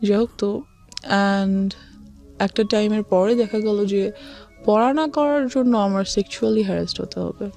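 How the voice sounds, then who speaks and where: A woman's voice: medium pitch, slow, creaky, dark tone, soft background music. A woman with a Bangladeshi accent, an interview guest telling a personal story and often switching between Bengali and English.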